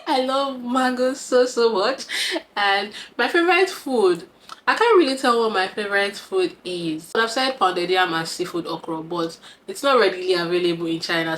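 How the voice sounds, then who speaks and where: A young Nigerian woman in her twenties, talking to camera in an easy, chatty way and laughing often. Her voice sits a little low for a woman. Faint noise hums under her. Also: fairly bright tone. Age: 20s. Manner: chatty and giggly, with frequent laughs. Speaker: vlogger. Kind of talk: casual talk to camera.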